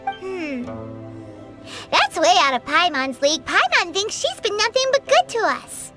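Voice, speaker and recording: A bubbly, greedy little fairy companion, piping in a very high voice that leaps about. She drawls slowly and calls herself by her own name. Game music plays behind her. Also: big pitch swings, heavily acted. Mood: doubtful. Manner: bubbly, speaks of herself in third person. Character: tiny floating female fairy companion, chirpy and greedy. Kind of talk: video game dialogue.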